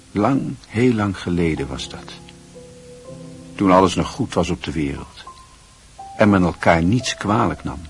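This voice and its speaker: Guy narrating a radio drama, lowish voice, bit of music underneath. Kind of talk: scripted literary narration.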